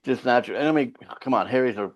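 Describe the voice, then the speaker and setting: A Finnish-born singer whose first language is Finland Swedish, telling stories in a loose, profane way as an interview guest. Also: male voice, mid-range voice, very fast. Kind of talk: casual interview chat. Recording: slightly dull lo-fi sound.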